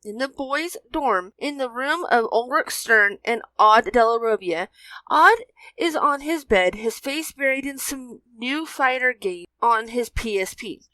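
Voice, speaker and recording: A woman's voice: on the high side, expressive, measured pace, sharp tone, no echo. A woman narrating a fan fiction story as a scripted reading.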